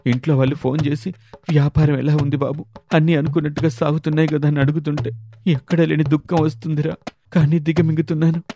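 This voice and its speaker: A man's voice: on the high side, flat delivery, fast talker, mellow, slight background noise, lightly acted. A man playing a downcast, swindled young husband who confides in a plaintive, self-pitying way. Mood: dejected.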